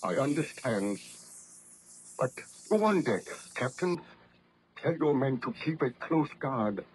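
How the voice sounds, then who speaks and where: An actor playing a tormented, remorseful baron scientist in a 1930s radio drama, theatrical and fervent, on a noisy old recording. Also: male voice, medium pitch, lively intonation, husky. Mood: urgent. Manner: theatrical, fervent, drawn-out phrasing.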